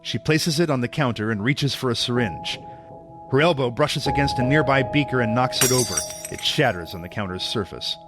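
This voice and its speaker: A man's voice: mid-range voice, fairly quick, over loud music. A man narrating an audio drama from a script as its storyteller.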